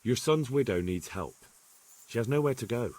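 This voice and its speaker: A man's voice: mid-range voice, animated, moderate pace, darkish tone, dry recording, close to an everyday voice. An actor playing an earnest, polite young man, a little hesitant, in a medieval video game cutscene. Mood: persuading. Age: young adult.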